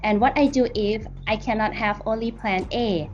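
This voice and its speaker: Woman, mid-pitched, methodical interviewee explaining her profession, music underneath. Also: normal speed, muffled recording. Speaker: air traffic controller being interviewed. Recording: soft music behind the voice.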